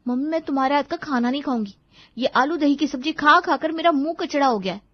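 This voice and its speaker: Woman voicing a spoiled, high-pitched sulky daughter. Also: fast talker, no echo, dull audio, lightly acted. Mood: demanding. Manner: petulant, snapping, complaining. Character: spoiled, sulky teenage daughter, defiant and whiny. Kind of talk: cartoon moral-story acting.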